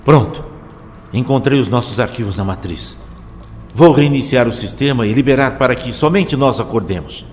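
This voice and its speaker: An actor playing a serious, cautious and dryly fatalistic male guide in an audio drama, speaking gravely. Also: mid-range voice, animated, moderate pace, darkish tone, close to an everyday voice. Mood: focused. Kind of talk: scripted audio drama acting. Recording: long reverb under music.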